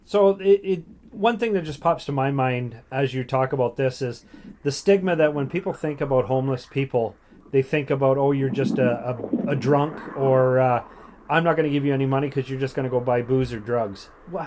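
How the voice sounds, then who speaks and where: A man's voice: mid-range voice, expressive, brisk, darkish tone, slight background noise. A man hosting a casual, conversational interview as the interviewer.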